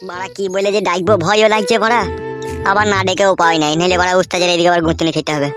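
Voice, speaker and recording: Woman-like voice as a rapid, wheedling cartoon henchman. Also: lowish voice, level tone, faint music behind, lightly acted. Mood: nervous. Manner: pleading, servile. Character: timid, wheedling young male henchman, loyal go-between. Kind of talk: comic cartoon dialogue.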